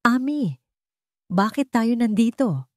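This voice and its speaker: A woman's voice: on the high side, expressive, slow pace, darkish tone, no echo, studio quality, voice pushed up. A woman voicing a wounded, guarded man in love in a romance story, hesitant and pleading. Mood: puzzled, concerned.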